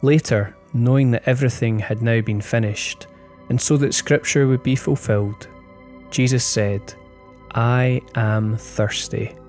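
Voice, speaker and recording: Man slowly reading scripture over music. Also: medium pitch, steady tone. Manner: measured, deliberate phrasing. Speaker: scripture reader. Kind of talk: slow, solemn Bible reading. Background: soft instrumental music.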